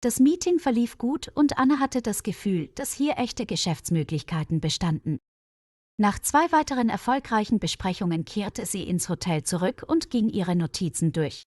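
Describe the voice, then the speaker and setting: A woman's voice: mid-range voice, sing-song, moderate pace, a little mellow, no room sound, studio quality. A woman reading a scripted story for language learners as its narrator. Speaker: narrator of stories for German learners.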